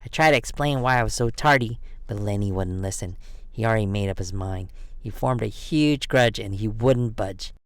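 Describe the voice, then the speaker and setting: Low-voiced man reading a picture book. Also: no room sound. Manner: expressive, dramatic pauses. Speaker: storyteller. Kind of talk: children's picture book read aloud.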